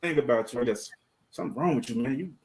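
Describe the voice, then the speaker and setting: A man's voice: medium pitch, fast talker, darkish tone. A man hosting a casual talk show, giving earnest advice in a colloquial way. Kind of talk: casual chat.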